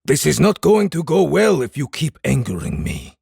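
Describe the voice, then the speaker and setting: A man playing a menacing, commanding inhuman samurai with a gravelly growl in a fantasy audiobook, speaking in deliberate, formal threats. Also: on the high side, very animated, a little mellow, no room sound, clean studio sound, full character voice. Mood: threatening growl. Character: menacing inhuman samurai captor, centuries tormented, furious and commanding. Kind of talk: full-cast fantasy audiobook acting.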